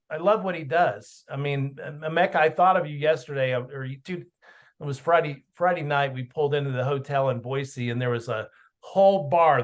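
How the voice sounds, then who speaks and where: Chatty man leading a team meeting. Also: fairly high pitch. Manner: chatty, rambling, quick to praise. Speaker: team leader hosting a meeting. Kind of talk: casual meeting chat. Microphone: video call.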